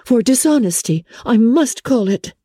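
A woman voicing a spirited, indignant young Regency gentlewoman in a dramatic audiobook reading, with emphatic, incredulous delivery. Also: fairly high pitch, expressive, unhurried, breathy edge, dry recording, studio quality, voice pushed up.

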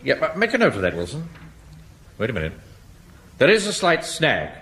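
An actor playing a pompous, easily flustered middle-aged English Home Guard captain in a British sitcom, speaking in clipped, officious commands. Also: male voice, a little high, huge pitch swings, normal speed, fairly bright tone, full character voice. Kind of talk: British sitcom acting before an audience. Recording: noisy old radio comedy recording.

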